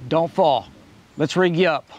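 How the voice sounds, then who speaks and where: A man's voice: on the high side, a little slow, a little mellow, muffled recording. A middle-aged man, a nut farmer, talking in a plain, easygoing, dry way. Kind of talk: casual chat. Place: open farm barn.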